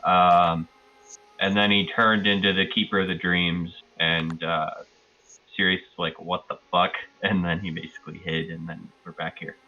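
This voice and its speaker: A man playing an online tabletop game, recapping casually in a halting way as he searches for words. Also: deep voice, little pitch movement, pushed and bright, muffled recording. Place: online voice call.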